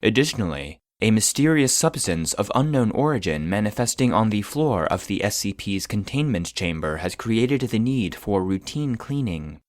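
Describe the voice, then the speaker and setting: Low-voiced deadpan male narrator. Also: normal speed, hardly any pauses, dry recording, clean studio sound. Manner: formal, deadpan. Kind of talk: scripted narration of mock scientific report.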